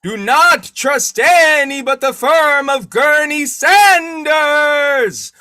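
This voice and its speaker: An actor voicing a solemn ghostly messenger at a seance in a live old-time radio drama. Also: male voice, very high-pitched, expressive, very slow, bright, forceful tone, no echo, studio quality, heavily acted.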